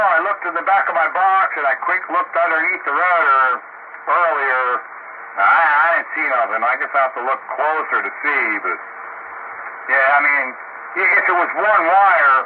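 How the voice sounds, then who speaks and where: A man's voice: a little high, moderate pace. A middle-aged or older American man chatting casually about technical matters in a colloquial, folksy way over ham radio. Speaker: amateur radio operator. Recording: narrow, phone-like ham radio audio, static noise.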